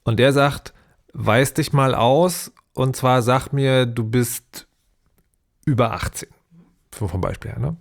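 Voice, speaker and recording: A man's voice: mid-range voice, slow, gravelly. Guy hosting a podcast, asks lots of clarifying questions, stumbles and restarts, kinda jokey. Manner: inquisitive, hesitant restarts, joking. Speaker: podcast host. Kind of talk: podcast discussion.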